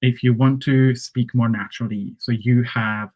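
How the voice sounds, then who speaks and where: Chatty Hungarian-native English coach. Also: male voice, medium pitch, steady tone, normal speed, a little mellow. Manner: conversational teaching, frequent "right?" and "okay?" check-ins. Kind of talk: live-streamed English lesson.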